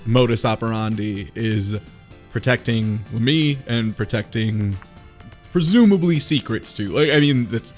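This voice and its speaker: A man's voice: on the low side, animated, a little slow, soft background music. A man in a casual group discussion about a game, making wry jokes. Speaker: podcast co-host.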